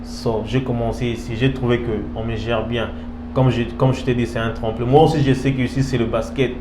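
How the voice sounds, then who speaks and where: A man with a Congolese French accent answering casually as an interview guest, halting, repeating phrases and mixing languages. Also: medium pitch, fairly even intonation. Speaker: interview guest, professional footballer. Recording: echoey room, clear background hum.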